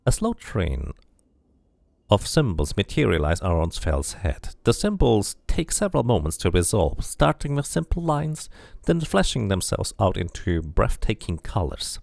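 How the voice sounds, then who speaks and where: A man's voice: on the low side, wildly swooping pitch, a little slow, vocal fry, darkish tone, no echo. A man streaming a casual playthrough, reading the game's narration aloud and chatting between passages. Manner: reads narration aloud, then chats casually and laughs.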